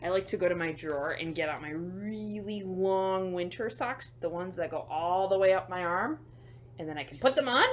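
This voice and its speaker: A woman reading a picture book to children as a storyteller, expressive, with chatty, simple asides to young listeners. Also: lowish voice, moderate pace, slight background noise.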